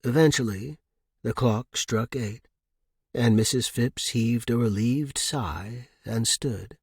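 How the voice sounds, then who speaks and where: Slow, measured male audiobook narrator. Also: mid-range voice, slightly rough, no echo, studio quality. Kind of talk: scripted narration of suspense fiction.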